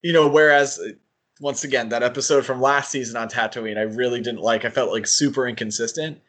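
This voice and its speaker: Guy podcast host just chatting, medium pitch, kinda thinks out loud as he goes. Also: steady tone, a little fast. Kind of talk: casual fan discussion.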